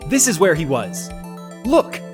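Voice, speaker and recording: An earnest young male defense attorney in a game drama. His voice sits a little high and swings expressively, with emphatic, declamatory phrasing and a sardonic edge. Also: wildly swooping pitch, measured pace. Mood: thoughtful, assertive. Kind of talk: dramatic voiced-over video game courtroom dialogue. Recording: music behind the voice.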